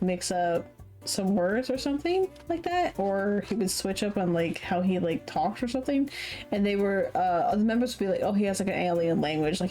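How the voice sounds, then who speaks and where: A woman streamer chatting casually while playing a game, laughing often and filling pauses with "like". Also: medium pitch, dark tone. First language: English. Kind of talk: casual gameplay commentary.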